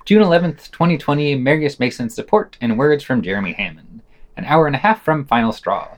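A man's voice: mid-range voice, lively intonation, a little fast. A man presenting a podcast, reading scripted reviews with dry commentary. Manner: reads quotations aloud, marking quote and unquote.

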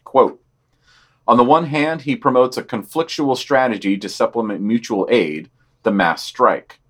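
A man's voice: mid-range voice, measured pace, a bit bright. Man giving dry offhand news commentary. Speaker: podcast news reader. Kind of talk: reading news summaries with commentary.

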